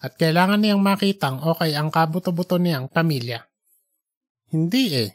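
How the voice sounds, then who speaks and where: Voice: mid-range voice, a little fast. A narrator reading scripted fiction in an audiobook storytelling style.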